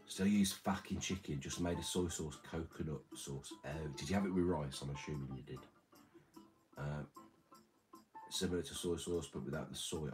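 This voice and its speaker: Very deep man on stream. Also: darkish tone. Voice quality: very deep, slightly damaged from singing. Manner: rambling, crude, jokey. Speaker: livestream host. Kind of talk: casual chat reading live chat. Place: small room with red curtain and red light.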